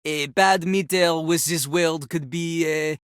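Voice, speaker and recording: A woman's voice: deep voice, steady tone, slow pace. A woman voicing a cocky male talking toilet who fancies himself a rapper, with a French accent and a theatrical, pompous manner in a video game. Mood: haughty, flustered. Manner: pompous, theatrical, refers to himself in third person. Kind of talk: animated video game character acting.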